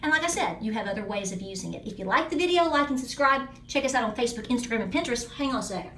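Woman, homestead vlog host, emphatic and chatty, fairly high voice, echoey small bathroom. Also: expressive, rapid-fire, a bit bright, slight background noise. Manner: chatty, emphatic, talks straight to viewers. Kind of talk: casual product review vlog.

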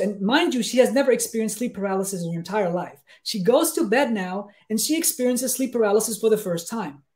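A man, explaining things conversationally as an expert guest in an emphatic, halting way, often checking that he is followed. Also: sits high, a little mellow. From Denmark. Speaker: guest expert, sleep researcher. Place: small room with bookshelves, on a video call.

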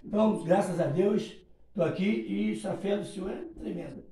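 A middle-aged Brazilian man giving an informal testimony at a home worship gathering in halting, repetitive phrases, recorded by a phone across a small room. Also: sits high, mellow, roomy sound, distant mic, faint music behind. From Rio de Janeiro, Brazil. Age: middle-aged, around 50s. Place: small living room, indoors. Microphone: phone held a few metres away.

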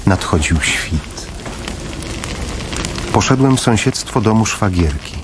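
An actor playing a grave father who narrates in the first person in a radio play, measured and full of pauses. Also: fairly low pitch, lively intonation, noisy background. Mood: somber. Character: somber father narrating his family's wartime flight.

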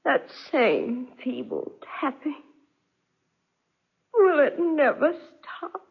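An actress playing an anguished, terrified wife haunted by guilt in a melodramatic 1940s radio drama. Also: female voice, high-pitched, sing-song, drawn-out words, gravelly, heavily acted. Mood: haunted, despairing. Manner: melodramatic, pleading, breathless delivery. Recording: muffled, dull 1940s radio recording.

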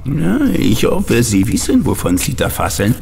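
Menacing audio-drama villain, scornful, demanding, creaky voice, long hall reverb. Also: male voice, mid-range voice, huge pitch swings, measured pace, darkish tone, heavily acted. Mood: threatening scorn. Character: impatient, menacing male villain holding hostages at gunpoint. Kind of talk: German audio drama acting. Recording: reverberant hall, faint noise.

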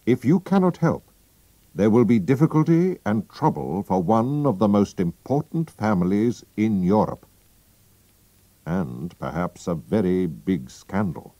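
A man's voice: on the low side, lively intonation, unhurried, dark tone, no echo, pitched above the performer's own voice. A man voicing a proud, anxious Bohemian king. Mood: urgent. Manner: formal, stiff and urgent. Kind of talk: storybook reading.

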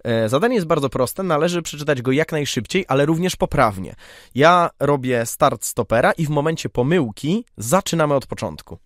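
A man's voice: a little high, lively intonation, moderate pace, dry recording, clean studio sound. A young man hosting a casual interview, putting questions and tasks to a guest. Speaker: podcast host.

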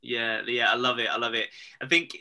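Male host, 30s, bright forward tone, chatty and loose, video-call audio. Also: mid-range voice, flat delivery, brisk. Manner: chatty, laughs often, rambling restarts. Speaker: podcast host. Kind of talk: casual video-call chat. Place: home room, indoors.

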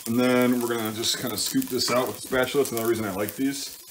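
A man's voice: mid-range voice, steady tone, quick pace. A man giving casual, informal cooking commentary in a home kitchen, thinking aloud and correcting himself as he works. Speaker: home cook hosting a cooking show. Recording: clear frying sizzle and kitchen noise. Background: sizzling pan and stirring sounds.

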